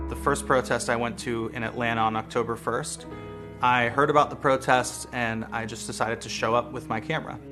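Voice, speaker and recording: An American man, a lawyer and street photographer, speaking in an earnest, measured, reflective way in a documentary interview. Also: medium pitch. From United States. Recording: music bed playing behind voice.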